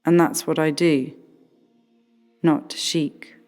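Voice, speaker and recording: A self-assured, artsy woman played by an actress muses in a radio play. Her voice is slightly low and extremely unhurried, with short deliberate phrases. It rings in a long reverb. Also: fairly even intonation, darkish tone. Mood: reflective. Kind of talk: radio play monologue, reflective. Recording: long hall-like reverb, faint music.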